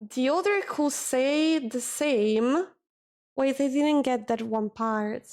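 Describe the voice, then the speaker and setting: A woman streamer, chatting casually with her viewers, often pausing and correcting herself as she hunts for words. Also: high-pitched, darkish tone. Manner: hesitant, searching for words, self-correcting. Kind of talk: casual chat with chat readers.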